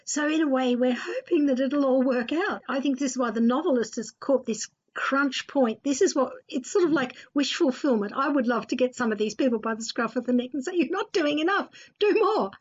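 A woman with a distinctly high voice hosts a conversational radio discussion. She talks informally, as one steering a panel. The recording is a little dull and lo-fi. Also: lively intonation, fairly quick, hardly any pauses, breathy edge. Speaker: host leading a panel discussion. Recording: dull, lo-fi sound.

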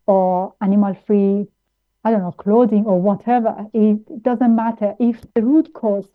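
A woman from Iran, raised in Austria, speaking as an interview guest in a halting way with many fillers and restarts. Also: mid-range voice. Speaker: guest, plant-based nutritionist. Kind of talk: interview conversation. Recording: tinny phone-like line.

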